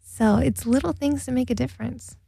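Woman radio co-host, gravelly voice, chatty and laughing, dry studio sound. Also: high-pitched, fairly even intonation, fairly quick, dark tone, no echo. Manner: chatty, laughs often, reads listener emails. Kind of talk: casual radio chat.

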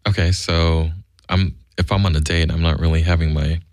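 A man co-hosting a casual radio chat show, laid-back and joking, with halting restarts. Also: low-pitched, little pitch movement, darkish tone, clear, resonant tone, no room sound, clean studio sound.

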